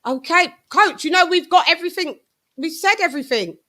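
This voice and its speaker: British female boxing stream host; bright, forceful voice, slangy casual chat, effusive delivery. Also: very high voice, expressive, fairly quick. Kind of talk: casual live-stream chat with shout-outs.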